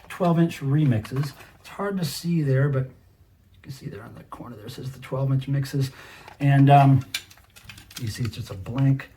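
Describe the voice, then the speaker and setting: A man's voice: a little high, darkish tone, slight background noise. A middle-aged man hosting a casual show-and-tell, talking to viewers directly with a collector's enthusiasm. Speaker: video host, cassette collector.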